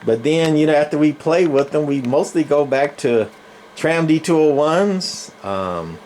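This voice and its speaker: A man giving a casual, unscripted show-and-tell as a collector, rambling and often pausing to search for words. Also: on the high side, expressive, a little mellow, slight background noise. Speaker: radio collector and channel host.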